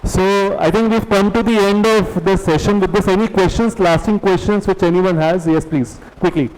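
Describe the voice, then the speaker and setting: Man, calm moderator, slightly raised pitch, long hall reverb. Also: moderate pace. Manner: calm, encouraging, hands questions to panelists. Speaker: panel moderator. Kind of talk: moderating a panel discussion.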